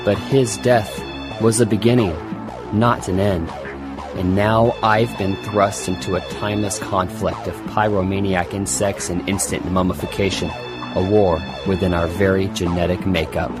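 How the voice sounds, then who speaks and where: A man's voice: low-pitched, flat delivery, normal speed, lightly acted. A man playing a grave, determined New York police forensic detective in a dramatic audiobook trailer. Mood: grave, haunted. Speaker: promo narrator. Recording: loud music under the voice.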